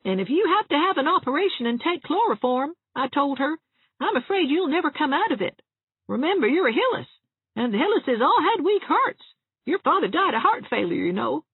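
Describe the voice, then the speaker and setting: Lady putting on a high creaky old spinster, all doom and fuss, tinny sound. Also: very animated, fairly quick, no echo. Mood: mournful. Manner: mournful, fussy, forever fearing the worst. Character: gloomy fretful elderly spinster woman.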